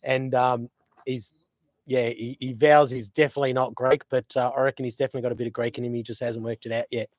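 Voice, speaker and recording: An Australian man hosting a casual live stream outdoors, rambling with lots of fillers and gentle teasing. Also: medium pitch, level tone, quick pace, dry recording. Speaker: host. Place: outdoors by a river.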